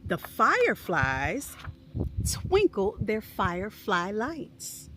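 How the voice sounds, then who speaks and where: A woman hosting a children's storytime, speaking expressively and encouragingly to young listeners in a deliberate way. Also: medium pitch, wildly swooping pitch, a bit bright, slight background noise, poor audio. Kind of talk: expressive read-aloud for preschoolers.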